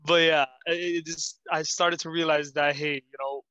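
Bright-voiced twenty-year-old guy. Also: on the high side, flat delivery, normal speed, dry recording, highs cut off. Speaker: student podcast co-host. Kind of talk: casual chat.

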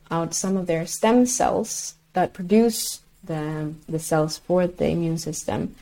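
A woman's voice: lowish voice, gravelly, dark tone. A woman whose first language is Swedish, explaining medical research as an interview guest, hesitant and searching for words.

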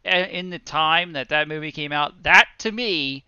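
Bright, crisp-voiced rambling man. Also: a little high, moderate pace, no echo. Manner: rambling, self-deprecating, many ums and swearing. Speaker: podcast co-host. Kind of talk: casual movie review chat. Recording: dry, dull lo-fi sound.

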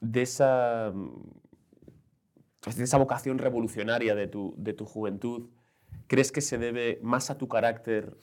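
A man's voice: mid-range voice, fairly even intonation, measured pace. A man interviewing a writer, asking his questions tentatively with frequent tag questions.

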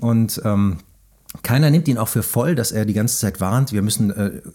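Low, muted, husky man, chatting. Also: moderate pace, mellow. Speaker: podcast host. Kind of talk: casual film discussion.

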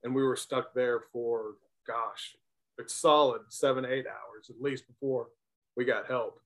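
A man's voice: medium pitch, steady tone, unhurried, a bit bright, poor audio. A man speaking casually as a podcast guest, recounting memories in a conversational way. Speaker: podcast guest, former baseball player. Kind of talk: casual storytelling.

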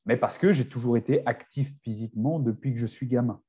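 A man's voice: medium pitch, fairly quick, warm tone, slightly rough, muffled recording. A man in his forties, a fitness coach, speaking as a guest in a casual podcast chat, often restarting his sentences. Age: 40s. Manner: hesitant, many restarts and fillers.

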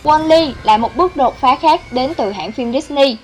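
A woman's voice: sits high, moderate pace, a little mellow. A woman reading scripted narration as the host of a countdown video. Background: background music.

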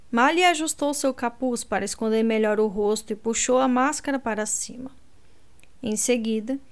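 A woman narrating a novel as an audiobook in a calm first-person reading. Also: on the high side, smooth. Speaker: audiobook reader.

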